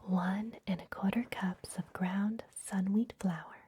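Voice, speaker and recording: A woman playing a gentle, secretive vampire librarian in an ASMR fantasy roleplay, speaking in a soft, breathy, confiding whisper. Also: mid-range voice, fairly even intonation, a little hiss or hum, natural voice. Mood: cozy, instructive. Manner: gentle, hushed, confiding, unhurried.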